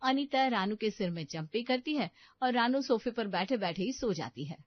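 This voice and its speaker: Lady reading out a story, kinda high voice, slightly muffled audio. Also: animated, normal speed. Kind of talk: scripted story narration.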